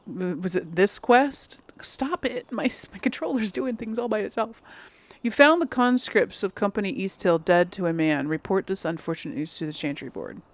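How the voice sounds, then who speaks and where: A woman's voice: mid-range voice, expressive, moderate pace. A woman giving casual game playthrough commentary, thinking aloud and muttering to herself as she goes. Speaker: gaming channel host.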